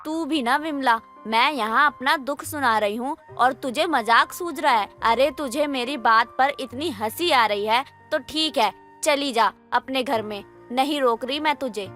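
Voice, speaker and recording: A woman's voice: high-pitched, measured pace, hardly any pauses, pushed and bright. A woman voicing an exasperated, scolding middle-aged mother-in-law in a moral story cartoon, complaining and nagging. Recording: music under the voice.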